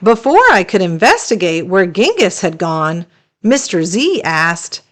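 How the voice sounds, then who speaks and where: Slow, animated woman reading a storybook. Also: on the low side. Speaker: storybook read-aloud host. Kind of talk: animated scripted children's story narration.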